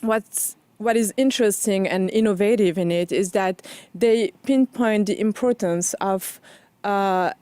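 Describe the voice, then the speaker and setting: Woman from Morocco, halting interview guest. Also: mid-range voice, a little mellow, no echo. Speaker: interview guest, foundation founder. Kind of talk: conversational interview.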